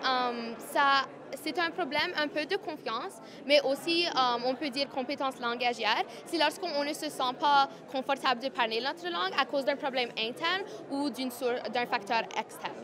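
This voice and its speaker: High-voiced young woman mixing in English words. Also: moderate pace, hardly any pauses, crowd noise. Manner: mixes English words into French, chatty. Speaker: student interviewee. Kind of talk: casual testimony. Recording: music in background.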